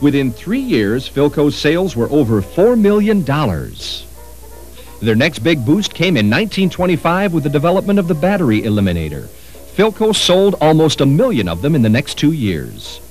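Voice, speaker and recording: A man narrates a history documentary in a lively, varied delivery. His pitch moves around a good deal, and music plays behind him. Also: normal speed.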